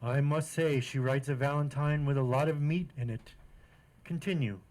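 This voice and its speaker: A man's voice: mid-range voice, moderate pace, a little mellow, close to an everyday voice. A pompous comedy judge played by a man in old-time radio style. He declaims in a mid-range male voice, with the formal delivery of someone presiding over a court. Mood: mock-solemn.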